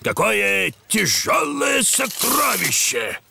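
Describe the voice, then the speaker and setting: A man voicing a jolly old grandpa pig who captains a boat in a cartoon, with a gravelly voice. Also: high voice, huge pitch swings, slow, a little hiss or hum, full character voice. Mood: excited calling. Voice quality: creaky, gravelly. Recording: loud music behind the voice.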